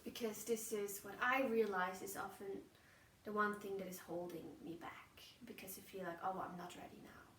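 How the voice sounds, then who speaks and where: German-accented woman musing out loud on a phone memo, restarts a lot, sounds far off and roomy with faint background hum. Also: medium pitch, steady tone, moderate pace. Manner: musing, searching for words, frequent restarts. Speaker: podcast host. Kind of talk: casual reflective monologue. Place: outdoors while walking. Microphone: phone voice memo held away.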